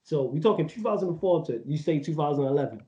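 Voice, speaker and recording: A man's voice: fairly high pitch, expressive, quick pace, mellow. A man in his thirties co-hosting a casual football debate, rambling and emphatic, full of slang. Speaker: podcast co-host. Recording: echoey, dull audio.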